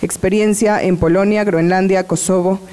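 A young woman with a warm, mellow voice reads a formal introduction, moving steadily through a long list of titles. She reads from a sheet of paper outdoors beside a garden. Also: mid-range voice, steady tone, moderate pace. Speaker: presenter.

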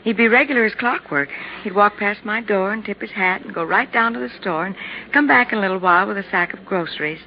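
Actress as rattled, chatty American neighbor woman, mid-pitched, rambling and unpolished, muffled old radio recording. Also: fast talker, a little hiss or hum, natural voice. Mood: reflective, chatty. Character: female apartment neighbor, shaken, chatty, plain-spoken. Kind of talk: 1950s radio drama acting.

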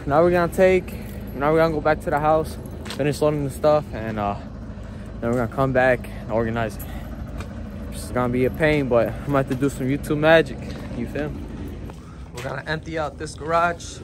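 A man's voice: mid-range voice, steady tone, brisk. Young man, casual vlogger, slangy laid-back talk, phone at arm's length, noisy outdoor background. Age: young adult. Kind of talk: casual vlog chat. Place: outdoors in a parking lot by a work trailer. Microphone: phone camera held at arm's length.

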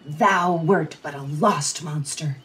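Woman as a slow, indignant drunken fool. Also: deep voice, a bit bright, a little hiss or hum. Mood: indignant grumbling. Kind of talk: Shakespearean radio play acting.

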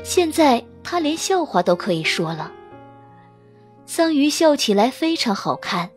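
A woman narrates a romance audiobook in a smooth, expressive storytelling manner. Her voice is high and very lively in pitch, with soft music running under it. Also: a little slow. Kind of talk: scripted narration of a romance novel.

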